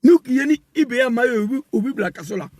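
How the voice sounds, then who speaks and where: A man delivering an impassioned political rant, emphatic and repetitive, rising to shouts. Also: very high-pitched, lively intonation, fast talker, dry recording. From West Africa. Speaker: political commentator.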